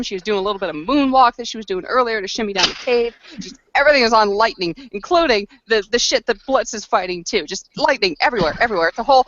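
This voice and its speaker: A woman chatting casually as a player in a tabletop roleplaying game, slangy, argumentative and quick to swear. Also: fairly high pitch, a bit bright. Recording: dull, close lo-fi recording.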